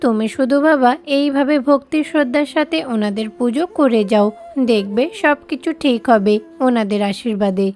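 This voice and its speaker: A woman's voice: fairly high pitch, unhurried, a little mellow, soft background music, natural voice. A woman playing a caring, reassuring, devout mother in a cartoon story.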